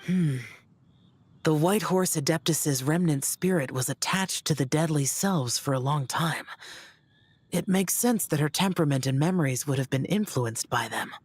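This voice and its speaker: Low, husky, stoic young immortal warrior. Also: female voice, darkish tone. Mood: solemn, reflective. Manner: solemn, measured, formal. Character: stoic youthful male immortal demon-slayer, solemn and reserved. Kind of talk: video game voice acting.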